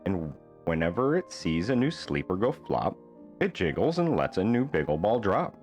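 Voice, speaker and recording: Male storyteller, low pitch, animated melody, rhyming bedtime-book narration, faint music behind. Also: moderate pace. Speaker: storyteller reading a children's book.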